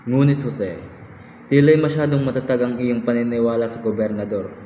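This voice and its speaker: A man's voice: medium pitch, steady tone, brisk, dark tone, natural voice. A man playing a worried, cautioning friend in an amateur radio drama, speaking formally and pleadingly. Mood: worried, warning. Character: worried, cautioning male friend, Don Pedro. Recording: muffled, echoey hall, faint noise.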